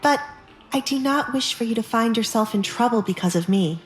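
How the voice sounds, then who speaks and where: Narrator doing a young noble lady, higher pitch, anxious but determined, earnest pleading, romance drama, music behind. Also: lively intonation, a little fast, reverberant, lightly acted. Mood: earnest, urging.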